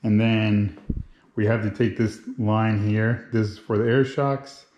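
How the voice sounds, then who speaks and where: A man's voice: on the low side, steady tone, unhurried, darkish tone. Man vlogging in an echoey garage. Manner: informal, explains step by step. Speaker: motorcycle builder and video host. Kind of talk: casual how-to vlog narration while working. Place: home garage with hard floor. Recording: roomy, echoing garage sound.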